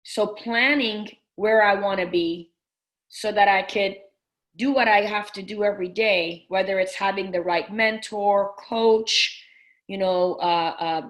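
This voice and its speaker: A woman's voice: medium pitch, fairly even intonation, unhurried. Earnest middle-aged woman coach. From Persian family. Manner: earnest, full of restarts and fillers. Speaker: business coach guest. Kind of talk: casual interview talk.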